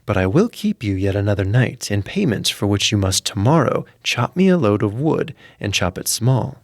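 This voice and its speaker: A man's voice: lowish voice, big pitch swings, moderate pace, no echo, studio quality. Storybook witch, acted out by a male reader: old, sly, taunting, always bargaining, little room sound. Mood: scheming.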